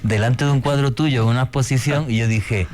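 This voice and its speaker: A man's voice: medium pitch, brisk. A man hosting a casual radio chat, talking with enthusiasm and slang. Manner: enthusiastic, slangy, animated storytelling. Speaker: radio host.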